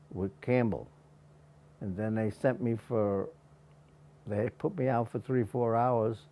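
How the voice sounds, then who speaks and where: A man in his nineties telling his own story in a halting, plain way for an interview. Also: fairly low pitch, dark tone. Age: 90s. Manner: plain, halting, with restarts and small laughs. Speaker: interviewee, patient.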